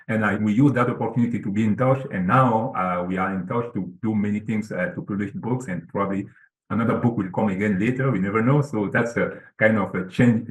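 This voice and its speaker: A man's voice: fairly low pitch, echoey room, poor audio. A man from Cameroon, a guest author, talking earnestly over a video call with lots of fillers and repeats. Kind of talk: casual interview talk.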